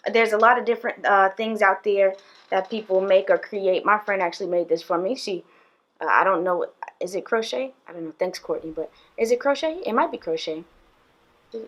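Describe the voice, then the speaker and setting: A woman's voice: mid-range voice, fairly bright tone. A young woman, a teacher, talks to the camera in a small indoor room. Her delivery is chatty and direct, full of fillers and asides to the viewer. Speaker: PE teacher and video host. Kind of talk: casual talking-head tips video.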